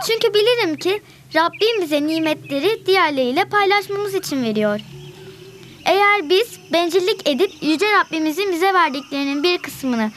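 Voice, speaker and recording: A woman's voice: very high-pitched, fairly bright tone, slight background noise. A woman playing an earnest, kind young pupil who explains things in a children's educational cartoon.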